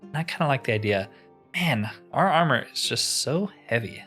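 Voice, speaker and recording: A man's voice: medium pitch, animated, moderate pace, fairly bright tone, breathy edge. Casual man doing game commentary over music. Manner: thinks aloud, chatty, informal. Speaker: gaming channel host. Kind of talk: casual let's play commentary.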